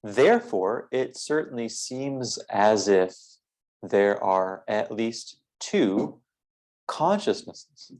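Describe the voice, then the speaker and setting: Slow, deep-voiced man guiding a meditation. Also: expressive, darkish tone, low-quality recording. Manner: measured, deliberate, formal phrasing with pauses. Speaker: meditation guide. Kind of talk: guided meditation, philosophical inquiry.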